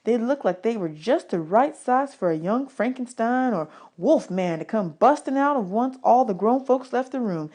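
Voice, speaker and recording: A woman's voice: on the high side, lively intonation, moderate pace, few pauses, dark tone. Woman reading a children's novel aloud. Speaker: teacher reading a book aloud. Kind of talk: expressive audiobook read-aloud of a children's novel.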